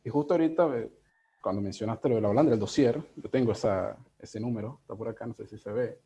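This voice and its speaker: A man in his thirties with a Venezuelan accent, talking casually and reflectively as an interviewed editor, in a halting, digressive way. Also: fairly low pitch, warm tone. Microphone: video call. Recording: dull, lo-fi video call audio.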